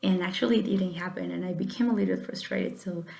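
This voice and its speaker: A young woman giving an earnest, encouraging speech, sometimes halting and restarting her sentences. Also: on the low side, steady tone, vocal fry, mellow. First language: Spanish. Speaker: student giving a class speech. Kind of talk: prepared personal speech.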